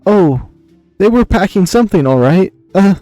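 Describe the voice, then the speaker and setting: Man acting young beast knight, flustered, lovestruck, halting, deferential, raised swooping pitch, visual novel. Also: lively intonation, mellow, no echo, soft background music. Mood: dreamy, flustered. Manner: hesitant, stammering, deferential.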